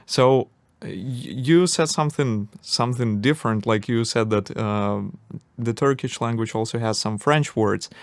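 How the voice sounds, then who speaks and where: A man's voice: medium pitch, moderate pace, few pauses, clear, resonant tone, dry recording. A man hosting a podcast, asking questions in a casual way, searching for words with many fillers. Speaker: podcast host.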